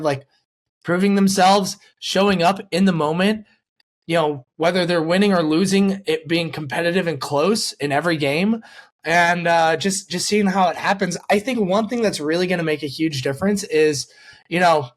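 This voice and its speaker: A man hosting a sports podcast, talking casually and explaining his points in a conversational way. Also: fairly high pitch.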